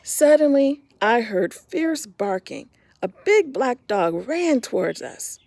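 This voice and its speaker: A middle-aged woman reads a picture book aloud for young children, stopping to point out what is in the pictures. Her voice is very animated and slow, with a gravelly texture. The sound is dry and close. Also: on the high side, dry recording. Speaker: homeschool story reader.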